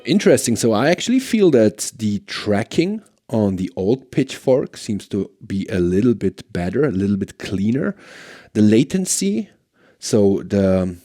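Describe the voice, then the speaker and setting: A man's voice: lowish voice, dark tone. A man presenting a gear review in a casual, conversational way, thinking aloud as he compares things. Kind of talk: casual product demo commentary.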